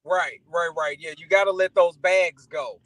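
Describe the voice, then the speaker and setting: An American man with a high-pitched voice talks as a show guest. His delivery is casual and conversational, with a motivational bent. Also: fairly even intonation, normal speed, fairly bright tone, no echo.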